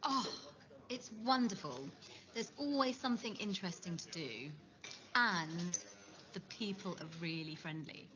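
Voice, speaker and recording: A woman's voice: fairly low pitch, unhurried, background noise, natural voice. An actress playing a cheerful young woman chatting with a friend, speaking enthusiastically in a learning skit. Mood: enthusiastic. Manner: enthusiastic, gushing. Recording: muffled lo-fi video audio.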